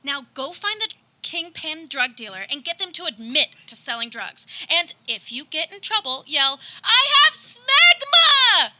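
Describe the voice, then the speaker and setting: A woman acts a bossy, exasperated young female dispensary clerk in radio theater. The voice is high and very bright. Orders come out in a no-nonsense, lively way. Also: unhurried, hardly any pauses, no room sound, exaggerated voice. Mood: commanding. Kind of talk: comedic radio theater acting. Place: backyard, live performance.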